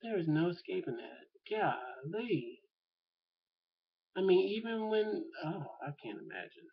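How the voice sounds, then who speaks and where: A man's voice: high voice, very animated, a little slow, gravelly, breathy edge, muffled recording. A man talking casually to camera in an opinion vlog, rambling and thinking aloud with lots of fillers. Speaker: vlogger.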